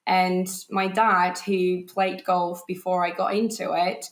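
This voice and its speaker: A woman's voice: fairly low pitch, level tone, slow pace, reverberant. A woman from Poland, a golf teaching professional, chatting as a podcast guest in a thoughtful way with many restarts. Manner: thoughtful, frequent restarts and fillers, light laughs. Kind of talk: casual podcast interview.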